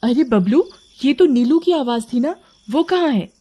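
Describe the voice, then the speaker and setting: A woman's voice: high-pitched, expressive, measured pace, mellow, voice pushed up. A woman voicing a bossy, worried elder sister who instructs and scolds in a cartoon horror story.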